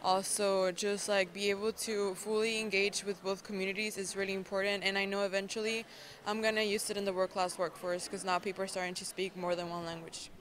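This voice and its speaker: A young woman from Mexico, a native Spanish speaker, giving earnest, steady answers as a student in an interview. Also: medium pitch, fairly quick, slight background noise. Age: young adult. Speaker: graduating high school student.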